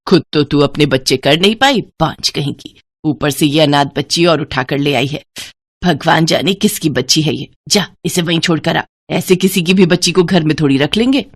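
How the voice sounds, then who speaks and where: A woman's voice: sits low, moderate pace. A woman voicing a cruel, scornful older mother-in-law, harsh and taunting, in a family morality story. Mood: angry scolding.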